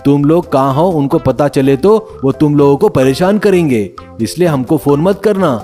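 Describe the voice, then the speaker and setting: A man's voice: a little high, lively intonation, normal speed, dark tone, faint music behind, close to an everyday voice. A man voicing a worried father of two grown sons in a storybook tale. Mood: grave. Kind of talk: storybook dramatized narration.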